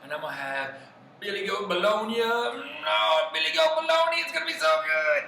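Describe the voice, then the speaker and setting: A man's voice: mid-range voice, lively intonation, pushed and bright, roomy sound, far from the mic, slight background noise, pitched above the performer's own voice. Man putting on a greedy old troll, wildly swooping. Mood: gleeful hunger. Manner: drawn-out gloating with hungry mms and yums. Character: greedy, hungry old troll lurking under a bridge.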